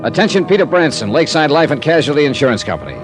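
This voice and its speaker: An actor playing a steady, concerned male insurance investigator, plain-spoken and businesslike, in a 1950s radio drama. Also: a little high, rapid-fire. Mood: dry narrating. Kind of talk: 1950s radio drama acting with scripted first-person narration. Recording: old radio broadcast with music.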